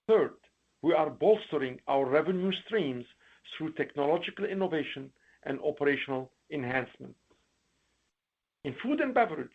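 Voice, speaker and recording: A man's voice: on the high side, gravelly, husky. A man in an executive role reading prepared business remarks formally on an earnings call. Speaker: company chief executive. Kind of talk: scripted earnings call presentation. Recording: dull, lo-fi conference call audio.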